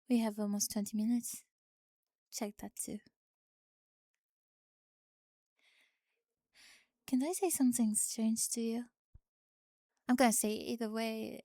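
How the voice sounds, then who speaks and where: Woman playing a forward, high-voiced French exchange student. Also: warm tone, no room sound, clean studio sound. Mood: playful, candid. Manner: blunt, self-amused, searching for words. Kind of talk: ASMR roleplay acting.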